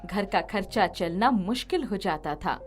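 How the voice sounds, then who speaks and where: A woman's voice: mid-range voice, lively intonation, a little slow, soft background music. A woman narrating a scripted moral story as its storyteller.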